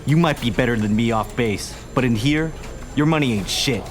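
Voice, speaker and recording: A man's voice: mid-range voice, normal speed, faint music behind, natural voice. A man playing a cocky young army conscript who talks in a mocking, brash, slangy way. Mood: sneering, taunting. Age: young adult.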